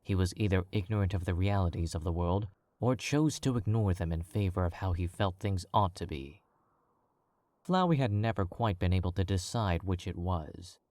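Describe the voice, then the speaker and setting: Deep-voiced male narrator. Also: moderate pace, mellow, no echo. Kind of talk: scripted story narration.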